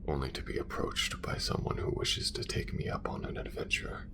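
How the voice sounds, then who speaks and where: A man voicing a calm, devout male alien assassin in an ASMR romance roleplay, whispering in slow, hushed, formal phrases. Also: natural voice. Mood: tender. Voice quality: gravelly whisper.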